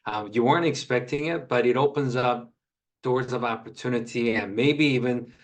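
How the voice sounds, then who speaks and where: A man's voice: mid-range voice, moderate pace. Earnest man on a video call. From Korea. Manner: earnest, friendly, many fillers. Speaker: sales executive.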